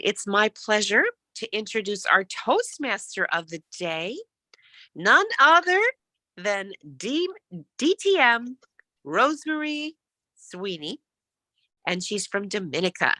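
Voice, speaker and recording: A woman hosting an online meeting, effusive and enthusiastic. Her voice is bright and swoops widely in pitch, and she speaks slowly. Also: no echo. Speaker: meeting president welcoming guests. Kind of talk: online club meeting chat.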